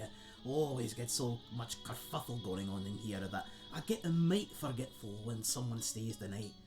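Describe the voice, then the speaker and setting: Man acting a folksy old tavern keeper, animated pitch. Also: natural voice. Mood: folksy, forgetful. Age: older. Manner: rambling, folksy, hesitant, chuckling aside to a guest. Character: friendly old male tavern keeper, folksy, chatty, self-deprecating. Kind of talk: fantasy audio roleplay, addressed to the listener.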